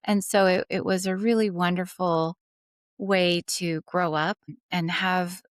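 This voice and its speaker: Woman, 40s, interview guest, low voice, dry sound, earnest and fluent. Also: steady tone, unhurried, clean tone, no echo. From Dubai. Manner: earnest, fluent storytelling with occasional restarts. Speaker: podcast guest. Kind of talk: conversational interview answers.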